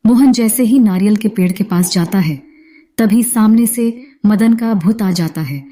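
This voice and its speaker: A woman's voice: mid-range voice, measured pace, mellow. A woman narrating a scripted horror story as its storyteller.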